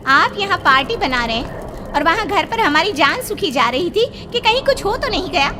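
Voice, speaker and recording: A woman's voice: very high voice, very animated, normal speed. A woman playing an anxious, scolding village wife in a horror audio story. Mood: scolding, indignant. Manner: fretful, scolding. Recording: reverberant, faint noise.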